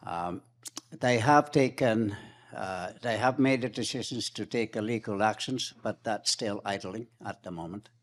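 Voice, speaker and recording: A man giving impromptu remarks at a roundtable in a measured, hesitant way. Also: lowish voice. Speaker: roundtable panelist.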